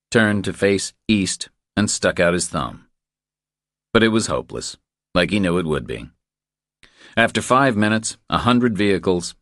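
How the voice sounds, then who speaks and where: A man's voice: deep voice, a bit bright, no room sound, studio quality. A man reading a thriller as a scripted audiobook narration.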